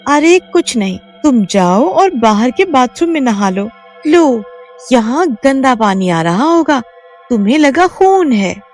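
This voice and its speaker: A woman voicing a calm young married woman in a cartoon who brushes off worries in a soothing way. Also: high voice, slow, soft background music, pitched above the performer's own voice, exaggerated voice. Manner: soothing, dismissive.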